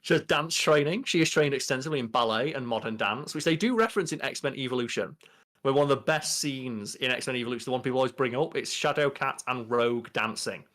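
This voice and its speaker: A man's voice: mid-range voice, fast talker, fairly bright tone. A young adult man chatting casually as a podcast co-host, reading out entries and commenting in an informal, sweary way. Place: small bedroom.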